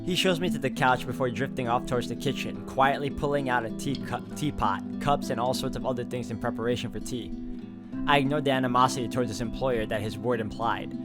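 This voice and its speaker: A man's voice: medium pitch, brisk. A man reading a visual novel aloud and thinking through its choices, with hesitations and asides. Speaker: game streamer reading the story aloud. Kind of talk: narrating and commenting on a visual novel. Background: loud game music.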